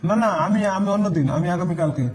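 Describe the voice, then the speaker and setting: A man's voice: high-pitched, a little fast, faint music behind, lightly acted. A man voicing a teasing father in a comic family cartoon, with a Bangladeshi accent.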